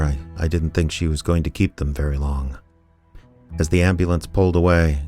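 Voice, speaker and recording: A wry, world-weary male private eye , played by an actor, tells his story in a very low voice. The delivery is dry and conversational, in the style of first-person detective radio drama. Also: close to an everyday voice. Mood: grim, numb.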